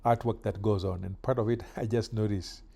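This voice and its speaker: A middle-aged man from Kenya chatting casually while doing yard work outdoors, with relaxed pauses and fillers. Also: on the low side, vocal fry. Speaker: vlogger.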